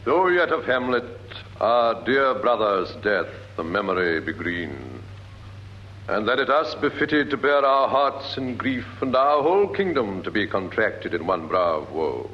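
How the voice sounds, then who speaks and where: A stately British king declaims to his court in classical radio drama style. His pitch rises and falls in broad sweeps, smooth and commanding, on a muffled old recording with hiss. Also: sing-song, unhurried. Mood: solemn, measured. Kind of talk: classical Shakespearean radio acting. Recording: dull, noisy vintage radio recording.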